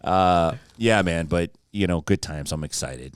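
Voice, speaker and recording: A man's voice: sits low, measured pace, smooth, dry recording. A man hosting a podcast, chatting casually and joking with a laugh in his voice. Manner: joking, animated, laughs often. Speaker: podcast host. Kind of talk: casual chat.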